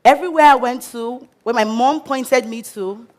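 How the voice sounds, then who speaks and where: A woman with a Nigerian English accent tells her life story from a stage in an expressive, dramatic way. Her voice sits a little higher than most women's. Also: moderate pace. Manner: expressive, dramatic, acts out quotes. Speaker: speaker. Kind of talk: inspirational storytelling talk. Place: auditorium stage.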